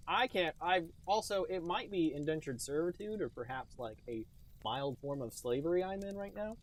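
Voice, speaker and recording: Higher-voiced man bantering on a comedy podcast. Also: expressive, moderate pace. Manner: sarcastic, joking, hammy. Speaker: podcast host.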